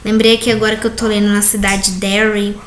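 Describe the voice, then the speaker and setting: A Brazilian woman, an English teacher, correcting textbook exercises in a recorded class, reading questions slowly and explaining with frequent tag questions. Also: mid-range voice, little pitch movement. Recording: echoey room, faint background noise.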